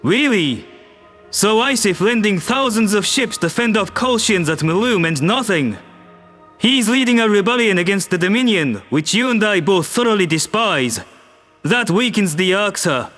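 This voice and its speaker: A composed male world leader lays out his case in a measured, persuasive manner, as a man reads him in an audio drama. His voice sits fairly high, and faint music plays underneath. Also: animated, a bit bright. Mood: firm. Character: calm firm human male world leader.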